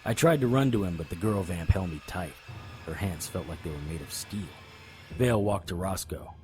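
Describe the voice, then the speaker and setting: A man's voice: low voice, moderate pace, music in the background, close to an everyday voice. An actor playing a tough, determined private investigator in an audio drama, terse and serious as he narrates and speaks. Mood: tense narration. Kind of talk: first-person audio drama narration and dialogue.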